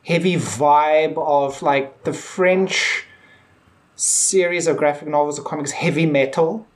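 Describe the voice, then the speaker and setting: A woman's voice: low voice, slow pace. A woman co-hosting a podcast, talking casually and feeling her way through her thoughts in hesitant, meandering phrases. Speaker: podcast co-host. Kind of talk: casual discussion of a TV episode.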